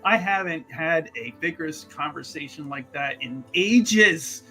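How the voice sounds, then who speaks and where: A man's voice: a little high, lively intonation, measured pace, sharp tone, soft background music, exaggerated voice. A man playing a chummy, self-important, pampered scholar in a tabletop game, effusive and rambling, with boastful turns. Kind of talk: tabletop roleplay dialogue.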